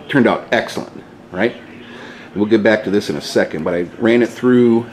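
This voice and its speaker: A man's voice: lowish voice, a little mellow, slight background noise, low-quality recording. A man hosting a casual how-to vlog, explaining things step by step in an informal, mildly salty way, recorded on a handheld camera in a garage. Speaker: DIY video host. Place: inside a home garage, at a pickup truck. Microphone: handheld camera, held by the speaker.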